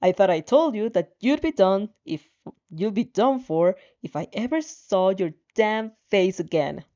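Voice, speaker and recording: Casting: hot-headed, sneering young man for anime fan fiction, played by a woman, measured pace, lo-fi sound. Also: mid-range voice, animated, dark tone, natural voice. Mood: angry disbelief. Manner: spitting, contemptuous.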